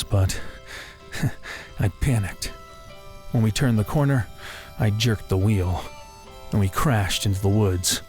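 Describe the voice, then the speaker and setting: Male actor as guarded young American drifter type: low register, very slow delivery, wry and slangy, audio drama. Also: darkish tone. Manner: slangy, laconic, sighing. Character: guarded, self-deprecating young working-class man, wry and bruised. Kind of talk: naturalistic audio drama dialogue. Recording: music playing behind the voice.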